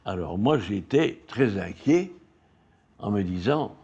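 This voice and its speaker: A man's voice: fairly low pitch, animated, takes it slowly, a little mellow, slightly rough. An older man recalling his animation team's past in an interview, on a dull recording. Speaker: animation studio head recalling past work. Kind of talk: interview recollection.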